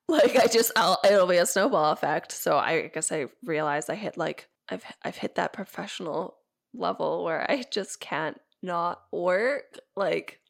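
Congested, gravelly-voiced young woman chatting. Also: on the low side. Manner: rambling, filler-heavy, laughs often. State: recovering from illness, stuffy. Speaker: podcast co-host. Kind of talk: casual chat.

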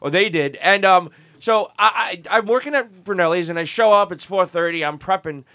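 Crude high-voiced guy hosting a podcast, bright and dry, rambles and swears. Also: normal speed, no echo. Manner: blunt, profane, rambling with restarts. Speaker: podcast host. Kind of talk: casual crude banter and storytelling.

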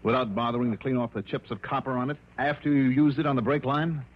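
A man's voice: mid-range voice, steady tone, quick pace, narrow, tinny audio, lightly acted. A man with an American accent playing a shrewd, confident insurance investigator in 1960s radio drama style. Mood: pressing, accusing. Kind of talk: 1960s radio drama acting and first-person narration. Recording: band-limited old radio recording with music.

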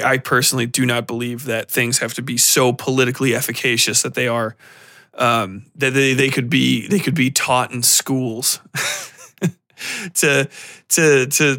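A man hosting a podcast, giving a casual solo film review in a rambling, halting way with offhand swearing. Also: mid-range voice, bright, forceful tone. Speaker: podcast host.

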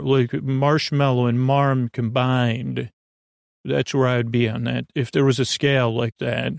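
A gravelly man with plenty of vocal fry hosts a sleep podcast in a dry, close sound. He meanders and stammers, doubling back on his words. His delivery is self-deprecating and built for bedtime listening. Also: medium pitch, no echo. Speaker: podcast host. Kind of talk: rambling bedtime podcast monologue.

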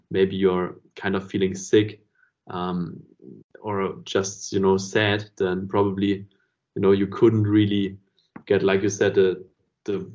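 A man's voice: low voice, darkish tone. A man in a casual podcast conversation, talking in a reflective, rambling way and often saying "you know". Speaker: podcast host. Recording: dull lo-fi podcast audio.

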